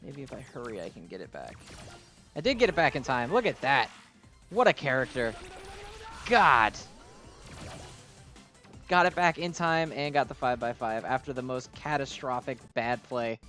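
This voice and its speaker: A man's voice: mid-range voice, sing-song, moderate pace, pauses often, bright, forceful tone, soft background music. Gushing man doing casual gameplay commentary. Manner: gushing, enthusiastic, exclamatory. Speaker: gaming video host.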